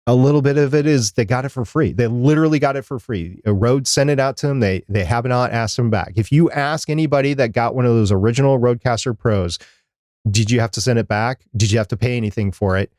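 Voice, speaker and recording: A man's voice: medium pitch, expressive, a little fast, a little mellow, dry recording. A man co-hosting a podcast in casual, opinionated chat, repeating words for emphasis, on a close microphone. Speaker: podcast co-host. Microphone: close studio microphone.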